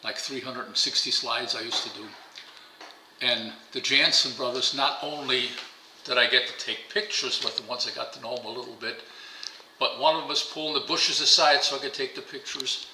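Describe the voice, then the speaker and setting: A man's voice: mid-range voice, normal speed, bright, forceful tone, echoey room, a little hiss or hum, low-quality recording. An older man whose first language is German, telling stories in a casual interview at a dining table at home, rambling and repeating words. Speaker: interviewee, pigeon fancier. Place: bright home dining room, indoors.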